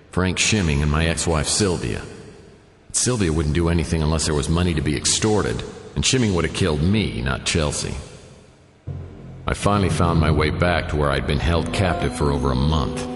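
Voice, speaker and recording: A man's voice: deep voice, natural voice. An actor playing a wisecracking hard-boiled male private detective, narrating with dry sarcasm. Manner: dry, sardonic. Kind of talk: noir first-person radio narration. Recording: echoey with long reverb.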